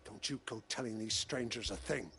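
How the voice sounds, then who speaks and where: An actor playing a stern, wary village leader in a fantasy video game, husky and firm, speaking formally. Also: male voice, on the low side, moderate pace, pushed and bright, a little hiss or hum. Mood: stern, hostile. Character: stern, wary male village leader, proudly resigned to submission. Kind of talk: scripted video game acting.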